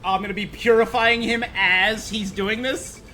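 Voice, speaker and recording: A man's voice: high voice, fairly even intonation, unhurried, sharp tone. A man doing casual live commentary as he streams a video game. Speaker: game livestreamer. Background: game music.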